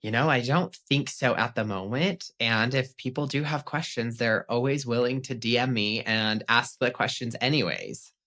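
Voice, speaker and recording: A man's voice: medium pitch, normal speed, hardly any pauses, bright, forceful tone. A man being interviewed as a pet psychic on a podcast, chatty and upbeat, with some casual swearing.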